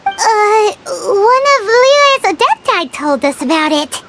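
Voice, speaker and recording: A woman voicing a tiny, excitable fairy girl companion in a video game, squeaky and childlike, chirpy in manner. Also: takes it slowly, music in the background, full character voice. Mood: curious. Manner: chirpy, refers to self in third person.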